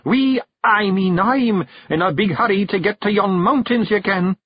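A man voicing a bumbling, bossy bearded Scottish stranger in a storybook, halting, growly and correcting himself. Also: high-pitched, husky, no room sound, dull audio, voice pushed up. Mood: impatient. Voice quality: growly, snarling.

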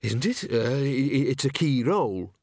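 A man's voice: medium pitch, very animated, normal speed, creaky, darkish tone, no echo, studio quality. A man voicing a fretful, indignant theatre owner in an audiobook, stammering and wailing with exasperation. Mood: worried moaning.

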